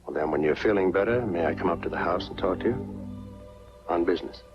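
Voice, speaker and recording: An actor playing a brisk, persistent investigator in a 1940s film noir style. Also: male voice, sits low, music in the background. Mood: politely insistent. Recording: muffled 1940s film soundtrack.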